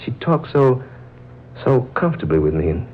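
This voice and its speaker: An actor playing a puzzled, earnest newcomer, speaking in a halting 1940s radio drama style. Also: male voice, mid-range voice, very animated, natural voice. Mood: musing, puzzled. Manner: halting, musing. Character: puzzled, earnest male newcomer, drawn to a woman. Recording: muffled, noisy old radio recording.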